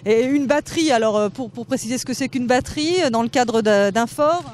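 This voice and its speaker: A woman's voice: on the high side, brisk, darkish tone, slight background noise. A woman reporter interviewing people outdoors in a forest, chatty and hesitant with frequent fillers. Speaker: radio reporter.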